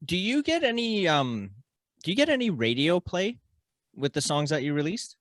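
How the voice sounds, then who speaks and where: A man's voice: a little high, sing-song, moderate pace, a bit bright, no room sound, studio quality. A man chatting casually as a podcast co-host and putting questions to a guest. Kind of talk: casual chat interview.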